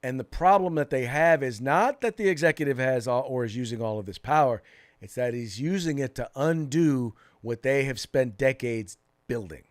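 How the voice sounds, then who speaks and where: A man's voice: medium pitch, moderate pace. An American man co-hosting a political talk show, giving opinionated commentary in an emphatic way, repeating phrases for stress.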